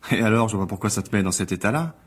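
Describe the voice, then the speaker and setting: An actor playing a spiteful, jealous newspaper critic with an indignant manner in a French radio drama. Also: male voice, lowish voice, lively intonation, rapid-fire, breathy edge. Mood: scornful. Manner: scornful, indignant.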